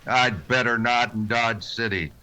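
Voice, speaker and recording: An actor playing a stern, terse western marshal, commanding in dialogue and narration in a radio drama. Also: male voice, mid-range voice, unhurried, sharp tone, clean tone. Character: stern, laconic frontier marshal, authoritative narrator.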